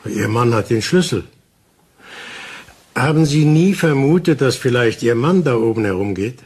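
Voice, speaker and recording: A probing male investigator in a crime radio drama. His voice is husky and mid-range. He speaks with measured calm, reassuring yet insistent. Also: medium pitch. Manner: measured, deliberate questioning. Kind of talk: German crime radio play acting.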